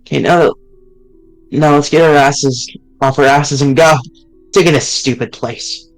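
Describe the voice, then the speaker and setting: A man's voice: on the high side, steady tone, measured pace, soft background music, low-quality recording. A man reading a blunt, eager male friend. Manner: casual, blunt delivery with mild swearing. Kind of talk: reading a visual novel aloud on stream.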